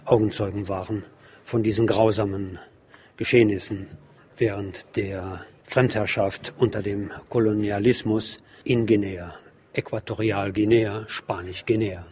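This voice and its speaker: A man presenting a narrated report on an exhibition, explaining in a measured way with deliberate phrasing. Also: fairly low pitch, darkish tone, husky.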